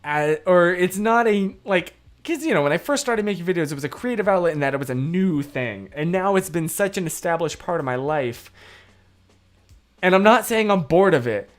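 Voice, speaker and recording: A young man with a worn-out, hoarse voice hosts a live stream, rambling through his thoughts and laughing easily as he answers chat. Faint music plays softly behind him. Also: high voice, lively intonation, moderate pace. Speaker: livestream host. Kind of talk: casual livestream chat, answering comments.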